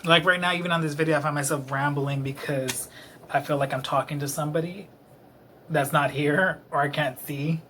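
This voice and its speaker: Tipsy late-twenties man vlogging at home, bright voice a touch high, rambling with many ums, faint room noise. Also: steady tone, moderate pace. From Dallas, Texas. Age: late 20s. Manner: self-deprecating, rambling, many fillers. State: a little tipsy. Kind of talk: rambling casual monologue to camera. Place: his own apartment, indoors. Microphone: camera close-up.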